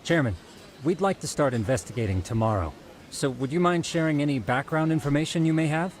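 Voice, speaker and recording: An actor playing a businesslike, eager private detective in a video game, explaining things in formal, precise terms. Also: male voice, fairly high pitch, fairly quick, a little hiss or hum, lightly acted. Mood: polite, businesslike. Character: businesslike, eager male private detective pitching his plan. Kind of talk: video game cutscene acting.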